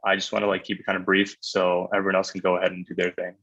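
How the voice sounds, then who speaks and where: A man's voice: sits low, completely flat delivery, rapid-fire, fairly bright tone, lo-fi sound. A young man giving casual advice as a webinar panelist, laid-back and full of "like" and "um".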